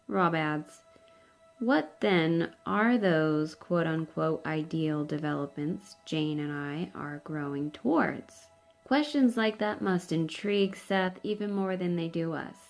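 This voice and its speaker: A middle-aged woman narrates a book with a notably creaky voice. She reads very slowly and deliberately, on the lower side in pitch. Faint music plays behind her. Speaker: reader of a book for online videos. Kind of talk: unhurried read-aloud narration of a book. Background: faint background music.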